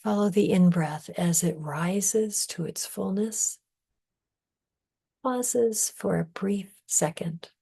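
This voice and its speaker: A woman leading a guided meditation in a soft, breathy, often near-whispered voice, calm, measured and soothing. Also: lowish voice. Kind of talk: guided meditation, scripted narration.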